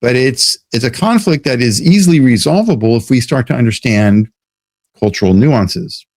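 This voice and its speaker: A man's voice: mid-range voice, lively intonation, normal speed, a little mellow, dry recording, studio quality. A man from the United States, a guest in an interview, explaining ideas thoughtfully and often restarting his sentences. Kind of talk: casual interview talk.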